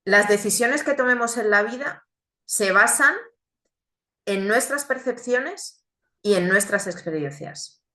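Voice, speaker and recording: Bright-voiced woman sharing her testimony. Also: medium pitch, expressive, reverberant. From Chile, raised in Spain. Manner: earnest and reflective, with deliberate pauses. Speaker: speaker giving a testimony. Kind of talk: religious testimony talk.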